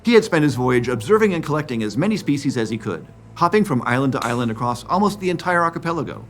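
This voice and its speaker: Male narrator, fifties, scripted documentary read, animated intonation, light music bed. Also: medium pitch, quick pace. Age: 50s. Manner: clear, explanatory. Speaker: science video narrator.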